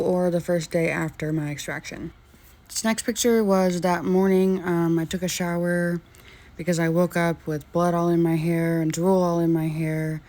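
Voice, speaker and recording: A woman vlogger talking through her own recovery diary in a casual, halting way, with many pauses and fillers. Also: lowish voice, dark tone.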